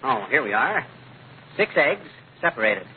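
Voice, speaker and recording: An actor playing a stubborn, cocksure, bungling husband with folksy bluster in a 1940s radio comedy. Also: male voice, mid-range voice, sing-song, a little slow. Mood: busy, muttering. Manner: folksy slang, blustering, muttering to himself. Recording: hissy, narrow-band old radio recording.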